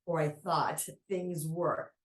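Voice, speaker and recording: A woman guest on a podcast, telling a personal story at an unhurried, reflective pace. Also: deep voice, steady tone. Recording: roomy echo.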